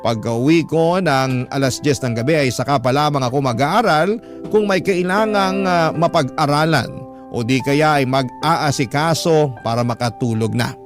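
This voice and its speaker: A man acts as a young working student telling a life story in a radio drama. The voice is a little high, earnest and confiding, with clear music behind it. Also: normal speed, lightly acted. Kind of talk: scripted first-person radio drama narration. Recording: prominent music bed behind voice.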